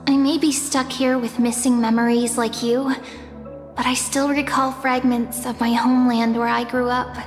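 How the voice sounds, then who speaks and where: A woman voicing a gentle, sweet, mysterious young guardian in an anime game, soft-spoken, measured and sincere. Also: fairly high pitch, flat delivery, close to an everyday voice. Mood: solemn warning. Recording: echoey long reverb, faint music behind.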